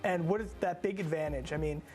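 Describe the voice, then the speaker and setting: A man's voice: fairly high pitch, quick pace, a little mellow. A man hosting a sports broadcast, presenting segments and interviewing guests, with music behind him. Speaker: sports show host and interviewer.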